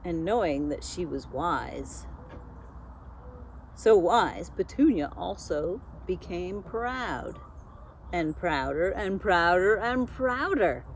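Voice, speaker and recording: A woman narrating a children's storybook expressively, like a school librarian, with deliberate dramatic emphasis. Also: fairly low pitch, lively intonation, a little hiss or hum. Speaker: school media specialist reading a storybook.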